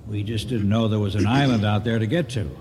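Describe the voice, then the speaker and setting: A man acting a shrewd, suspicious ship's captain in a radio drama, brisk and sardonic. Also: lowish voice, a little mellow, big-room sound, a little hiss or hum, lightly acted. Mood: resolute.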